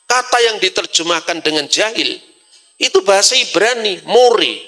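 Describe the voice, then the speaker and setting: A middle-aged man with a Javanese accent giving a lively scripture talk, asking himself questions and answering them, with Javanese asides. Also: sits high, moderate pace. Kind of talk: lively lecture-style talk.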